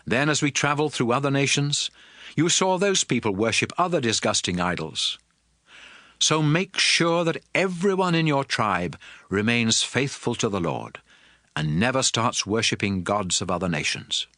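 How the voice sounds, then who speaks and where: A man's voice: medium pitch, expressive, normal speed, bright, forceful tone, no room sound, studio quality. An actor playing a solemn Hebrew prophet addressing his nation in earnest oratory for a dramatized Bible. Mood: stern warning. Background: music.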